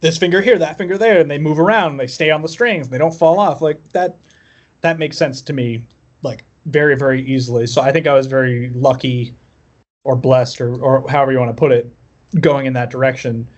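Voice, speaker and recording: A man's voice: medium pitch, steady tone, fairly quick, lo-fi sound. A man chatting casually as a musician guest on a podcast, telling personal stories in a wry, self-deprecating way. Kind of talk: casual chat, personal storytelling.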